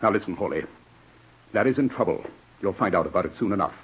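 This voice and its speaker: A man's voice: low voice, animated, fast, a little hiss or hum. An actor in a 1940s radio drama playing a stern, ambitious barrister, a cold, self-serving man with a clipped, commanding delivery. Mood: curt, commanding. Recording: muffled vintage 1940s radio recording.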